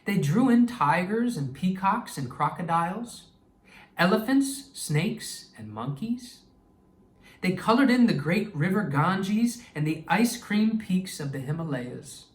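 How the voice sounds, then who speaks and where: A man reading a children's story aloud expressively and pausing to explain things to young listeners. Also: sits high, expressive. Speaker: children's storytime reader and educator. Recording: echoey room, distant microphone.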